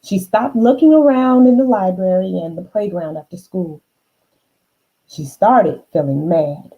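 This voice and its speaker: A woman reading a children's storybook aloud, unhurried, with dramatic emphasis and chatty asides to the listener. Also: on the low side, breathy edge, phone-quality sound.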